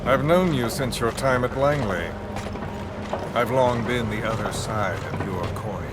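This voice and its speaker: An actor playing a bitter, sinister male villain in a video game, delivering slow, theatrical, philosophizing monologues. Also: mid-range voice, heavy background noise, close to an everyday voice. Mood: cold, reflective. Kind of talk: video game cutscene acting. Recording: loud music behind the voice.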